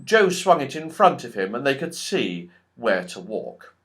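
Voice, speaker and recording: A man reading a children's story as a narrator, with expressive, scripted storytelling. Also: medium pitch, a little slow. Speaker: audiobook reader.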